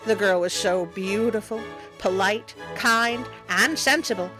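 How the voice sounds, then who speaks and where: A woman's voice: mid-range voice, a little slow, over music. A woman hosting a story show, reading a tale aloud with playful, wry asides.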